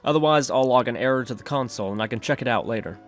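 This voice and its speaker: Male tutorial host, medium pitch, step-by-step screencast narration over a music bed. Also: rapid-fire.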